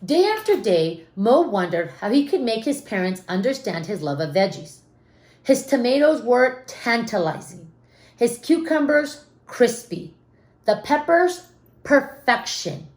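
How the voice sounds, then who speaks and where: Animated, high-voiced woman reading a story. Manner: expressive, dramatic pauses, questions to young listeners. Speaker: storybook reader. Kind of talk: animated children's read-aloud with asides to listeners. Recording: roomy, echoing room sound.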